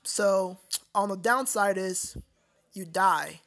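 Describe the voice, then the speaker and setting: A livestream host chatting casually with viewers in a halting, repetitive way, often addressing them as sir. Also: medium pitch, a bit bright.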